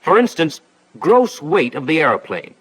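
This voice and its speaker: An actor playing a breezy instructor, narrating a 1940s pilot training film in a folksy, chummy way. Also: male voice, fairly high pitch, big pitch swings, a little slow, husky, exaggerated voice, rough recording. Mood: folksy, playful. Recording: 1940s film soundtrack with faint noise.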